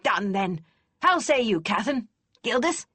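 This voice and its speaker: Woman reader doing a gruff old noble lady, loud and blunt, high swooping voice. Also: sing-song, a little slow, no echo, exaggerated voice. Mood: hearty. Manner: booming, teasing, emphatic. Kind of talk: audiobook character voice.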